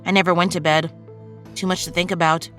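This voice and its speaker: A woman's voice: fairly low pitch, flat delivery, brisk, fairly bright tone, faint music behind, lightly acted. A woman acting an earnest, idealistic young superhero in an audio drama, reflective and sincere. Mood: subdued.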